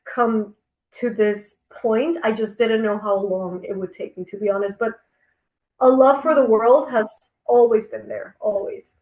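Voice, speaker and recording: A woman from Costa Rica, a travel company co-founder, talking casually on a video call and often pausing to rephrase her thoughts. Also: fairly high pitch, steady tone, echoey room, dull audio. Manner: reflective, circling back to rephrase.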